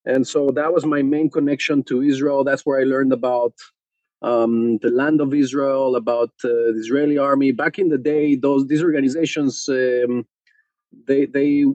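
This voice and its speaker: A man from Mexico, a podcast guest telling his story with many pauses and fillers. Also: mid-range voice, steady tone, a little mellow, no echo, dull audio. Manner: hesitant, many fillers and restarts. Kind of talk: conversational interview.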